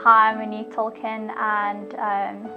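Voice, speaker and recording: A woman from South Africa reflects candidly on growing up, in a steady, even tone. The audio sounds dull, with clear music playing behind her. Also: medium pitch, measured pace. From Randfontein, South Africa. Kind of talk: personal interview.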